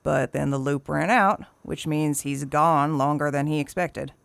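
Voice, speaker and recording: Elderly desert-town woman: gravelly, folksy, mocking, dangerous edge, dry close sound. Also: deep voice, fairly even intonation, unhurried, no room sound. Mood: sly, casual. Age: older. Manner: teasing, folksy, sardonic. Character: sly, folksy, gun-toting elderly desert townswoman. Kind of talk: audio drama dialogue.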